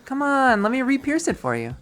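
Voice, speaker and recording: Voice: high voice, very animated, brisk, a bit bright, soft background music, pitched above the performer's own voice. A narrator voicing a bubbly, possessive popular teenage girl, playful, gushing and bossy, in a visual novel.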